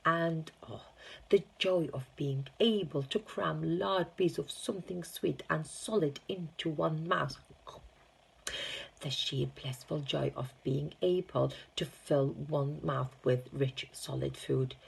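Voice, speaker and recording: A woman narrates a children's story in a low-pitched voice. It is husky, with plenty of gravelly creak at the edges. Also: slow pace, rough recording. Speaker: teaching assistant reading aloud.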